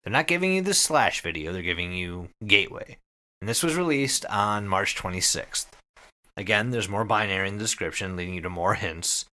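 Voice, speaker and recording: A man hosting a podcast, telling a story casually to friends in an excited, conversational way with some swearing. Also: fairly low pitch, fairly quick. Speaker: podcast host.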